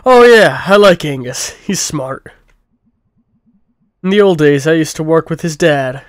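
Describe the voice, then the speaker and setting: A man voicing a kindly middle-aged father cat in a game playthrough, with gentle teasing. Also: on the high side, animated, measured pace, no room sound, studio quality. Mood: fatherly.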